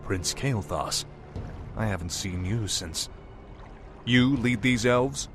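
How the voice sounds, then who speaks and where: Death knight prince: low voice, grim, arrogant, urgent, fantasy game drama with music underneath. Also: male voice, slow. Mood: taken aback. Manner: haughty, urgent. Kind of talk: video game cutscene acting.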